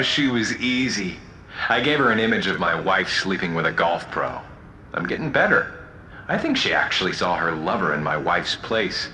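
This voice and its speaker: Manipulative killer, sharp, echoey low voice. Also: male voice, a little hiss or hum, heavily acted. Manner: smug, sardonic. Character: manipulative male condemned killer pleading then gloating. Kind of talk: audio drama acting.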